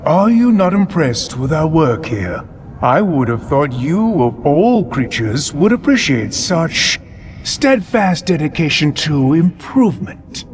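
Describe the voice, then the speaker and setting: A man playing a haughty alien zealot villain in a game cinematic, speaking in a grandiose, mock-courteous and deliberate way. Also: a little high, big pitch swings, slight background noise. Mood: mock-courteous menace. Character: haughty alien Covenant prophet, silky male zealot villain.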